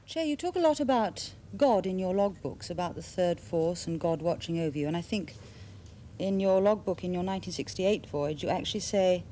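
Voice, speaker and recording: A woman presenting a television program, reading measured narration and putting questions to a guest. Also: fairly low pitch, lively intonation, warm tone. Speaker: host. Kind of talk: scripted documentary narration and studio interview. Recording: faint noise, 1970s television broadcast.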